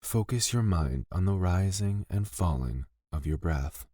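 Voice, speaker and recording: A man leading a scripted guided meditation in a gentle, soothing and deliberate manner, pausing between phrases. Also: deep voice, lively intonation, no echo, studio quality.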